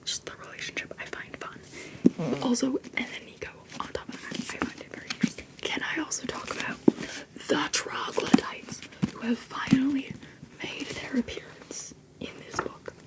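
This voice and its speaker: A woman's voice: sits high, flat delivery, moderate pace, low-quality recording. A young woman whispering as she rambles and giggles about favorite books in a casual video. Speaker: video creator. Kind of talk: whispered book-talk ramble.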